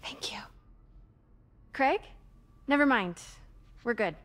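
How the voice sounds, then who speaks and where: An actress playing a confident, plucky young American reporter in a video game, with a casual, dry manner. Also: female voice, fairly high pitch, lively intonation, takes it slowly, a bit bright. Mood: polite, scheming. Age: young adult.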